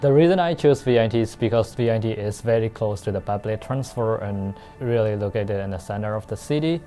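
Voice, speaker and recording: A man's voice: fairly low pitch, brisk, warm tone. A young man with a Burmese accent, a master's student giving a promotional testimonial. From Myanmar. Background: background music.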